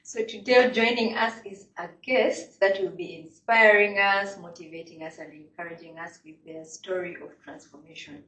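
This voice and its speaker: A woman's voice: medium pitch, steady tone, normal speed, few pauses, fairly bright tone. A woman hosting a casual interview, chatting and often filling with "like". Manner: chatty, leans on "like" fillers. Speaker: podcast host. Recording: echoey, distant mic.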